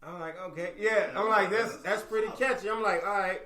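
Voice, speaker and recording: A man's voice: high-pitched, brisk, crowd noise. A man in casual chat, telling a laid-back story and quoting what others said to him. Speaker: guest rapper. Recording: echoey room.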